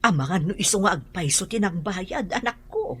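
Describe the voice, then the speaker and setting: A woman's voice: lowish voice, lively intonation, normal speed, fairly bright tone, husky, full character voice. A woman with a Filipino accent playing a concerned mother in an Ilocano radio drama. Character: concerned mother questioning her child.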